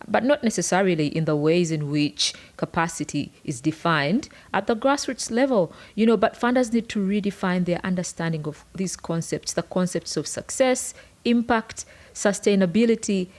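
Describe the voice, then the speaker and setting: Low-voiced Ugandan woman co-hosting. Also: moderate pace. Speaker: podcast co-host. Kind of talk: prepared podcast conversation.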